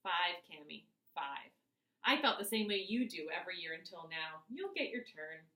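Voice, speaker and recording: A woman's voice: on the low side, lively intonation, moderate pace, bright, forceful tone, roomy sound. A woman voicing a patient, reassuring mother in a children's story.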